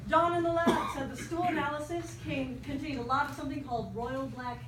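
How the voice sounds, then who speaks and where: On a noisy, echoey stage, a woman plays a breezy female police detective. Her high voice is quick with a wisecrack, in a live drama reading. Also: fairly even intonation, lightly acted. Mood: breezy, businesslike. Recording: echoey distant stage, loud room noise.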